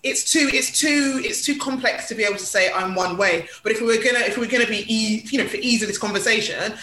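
A woman speaks frankly and emphatically as a podcast guest. Her tone is bright and forceful, and she often restarts her sentences. The room sounds echoey. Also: fairly high pitch, quick pace. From England. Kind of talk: casual conversational interview. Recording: echoey room with faint noise.

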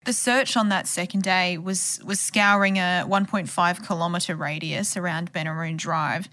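A woman's voice: on the low side, fairly even intonation, moderate pace, bright, forceful tone. A woman journalist giving a conversational podcast account of a case. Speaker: journalist who covered the case.

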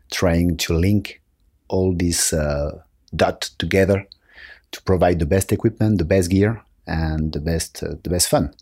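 A man with a French accent answering interview questions conversationally, halting as he searches for words. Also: low-pitched, a little mellow, dry recording, studio quality. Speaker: interview guest, company marketing director. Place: office.

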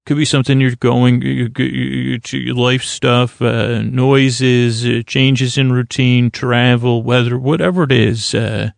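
A man hosting a bedtime podcast in a creaky, lulling voice, meandering and halting as he blathers soothingly. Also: mid-range voice, fairly even intonation, dry recording, studio quality. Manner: meandering, halting, repeats himself. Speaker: host of a bedtime story podcast. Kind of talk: rambling bedtime monologue, soothing.

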